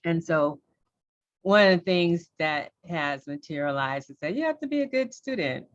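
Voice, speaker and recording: A middle-aged woman from Los Angeles, a judge, telling her career story on a panel in a candid, lively way. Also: lowish voice, normal speed, dull audio.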